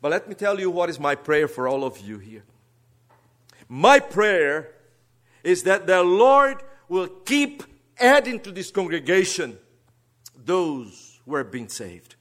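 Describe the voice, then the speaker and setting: A man from Brazil with a Brazilian Portuguese accent, a guest preacher and seminary teacher giving an earnest sermon that moves between soft asides and emphatic rises. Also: high voice, sing-song, slow.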